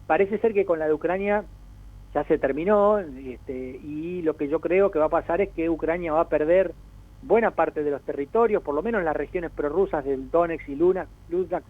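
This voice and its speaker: Higher-voiced Argentine man on a phone line. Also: normal speed. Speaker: radio interview guest, international affairs analyst. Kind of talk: spontaneous explanatory commentary. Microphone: telephone call. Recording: narrow tinny phone line.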